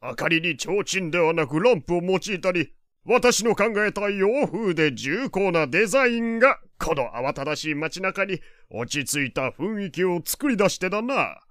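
A wealthy elderly gentleman in an audio drama. His fairly high voice rises and falls freely as he speaks in grand, archaic phrases with a teasing courtesy. The sound is close and dry. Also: lively intonation, moderate pace, no echo. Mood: self-satisfied. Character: elderly male wealthy patron, genteel, teasing, old-fashioned. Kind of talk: Japanese audio drama acting.

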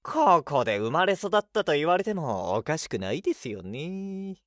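An actor playing a genial, knowing cafe customer in an audio drama, speaking politely and explaining things. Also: male voice, on the high side, very animated, moderate pace, fairly bright tone, no room sound, studio quality. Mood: amiable. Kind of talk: Japanese audio drama acting.

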